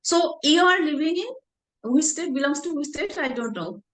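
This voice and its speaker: A woman, asking questions in a casual practice chat, halting and searching for words. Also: high-pitched, expressive. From India. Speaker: interviewer. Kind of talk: casual English practice conversation. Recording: echoey room.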